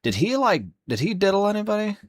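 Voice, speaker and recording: A man chatting casually on a live stream, rambling with many fillers and restarts. His voice is hoarse and sleepy. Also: high voice, sing-song, fast, no echo, clean studio sound.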